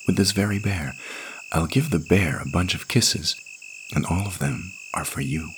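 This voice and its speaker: A man voicing a gentle, motherly woman in a story, coaxing and comforting a child softly. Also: extremely low pitch, measured pace, noisy background. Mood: whispered comfort.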